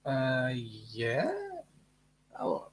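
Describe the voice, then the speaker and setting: A man's voice: medium pitch, drawn-out words, husky. A man co-hosts a casual live chat. He keeps up playful, joking banter in an ordinary mid-range voice. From Australia. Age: late 50s. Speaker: co-host.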